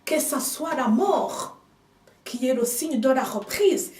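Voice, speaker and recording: Slow, high-voiced woman preaching. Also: expressive, far from the mic. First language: another language than French. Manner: slow, emphatic preaching with hushed asides. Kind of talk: sermon. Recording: echoey room, speaker off mic.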